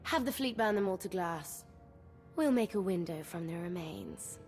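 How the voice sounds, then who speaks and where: A woman's voice: fairly low pitch, animated, moderate pace, darkish tone, close to an everyday voice. Woman voicing a gleeful, cruel young empress. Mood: cruelly playful. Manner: sing-song and cruelly playful. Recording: distant voice over game music.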